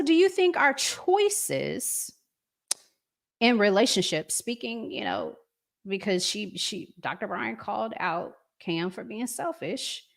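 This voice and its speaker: A woman's voice: a little high, gravelly. A woman hosting a casual live chat, talking conversationally and reflectively and putting questions to her listeners. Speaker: host reading and answering viewer comments.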